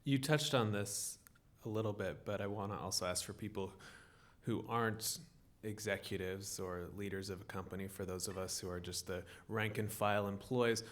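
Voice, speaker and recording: A man asking an interview question in a measured way. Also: fairly low pitch, fairly even intonation, a bit bright.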